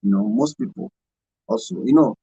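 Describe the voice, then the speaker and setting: A man's voice: mid-range voice, expressive, moderate pace, mellow, slightly rough, no echo. A man speaking as a guest in a radio discussion, hesitant and searching for words. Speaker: panel guest. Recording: dull lo-fi dry recording.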